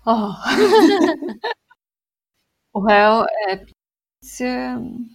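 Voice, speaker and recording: A young woman from Bulgaria with a Bulgarian accent, a guest in a casual interview, telling things in an unhurried way and laughing often. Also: on the high side, sing-song, husky.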